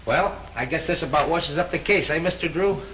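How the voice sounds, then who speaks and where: An actor playing a dim-witted studio guard who fancies himself a detective, talking slangy and eager. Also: male voice, on the high side, fairly quick, a bit bright, a little hiss or hum, close to an everyday voice, rough recording. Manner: slangy, eager, tough-guy talk. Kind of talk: 1930s film acting.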